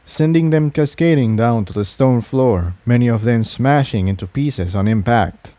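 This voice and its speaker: A man's voice: medium pitch, warm tone, no room sound. A man narrating a written adventure story as a reader of fan fiction.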